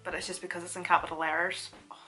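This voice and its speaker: A woman's voice: lowish voice, level tone, very fast, bright, forceful tone, soft background music. A young woman with a Northern Irish accent, chatting casually through a makeup tutorial and laughing often. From Northern Ireland. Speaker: beauty vlogger.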